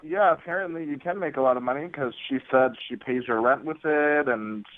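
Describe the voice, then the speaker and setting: A man's voice: fairly high pitch, a little fast, dry recording. A man speaks over a narrow, dull telephone line with no room sound. He talks casually, awkward and hesitant, with a sardonic edge. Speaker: phone-in guest. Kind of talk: casual conversation. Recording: dull, band-limited phone line.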